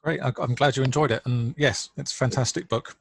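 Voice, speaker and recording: Husky, gravelly-voiced male conference speaker. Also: mid-range voice, brisk, no room sound. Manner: relaxed, conversational, with fillers. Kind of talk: online conference talk. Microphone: video call.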